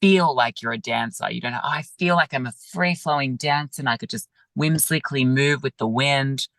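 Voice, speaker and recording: A man, a yoga teacher, talking gently and reflectively over a video call, with many pauses and fillers. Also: mid-range voice, vocal fry, no echo. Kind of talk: reflective interview talk.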